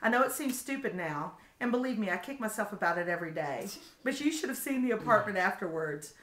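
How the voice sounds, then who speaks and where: A woman's voice: mid-range voice, expressive, quick pace, fairly bright tone. A woman reading a comic story aloud to friends, breaking into laughter as she goes. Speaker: reader. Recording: noisy, echoey home video recording. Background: listeners laughing and exclaiming.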